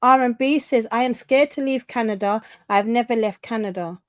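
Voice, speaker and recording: A woman's voice: a little high, moderate pace, no room sound, studio quality. Woman host reading out viewer comments. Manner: chatty, reads viewer comments aloud. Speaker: livestream host. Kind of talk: casual livestream chat.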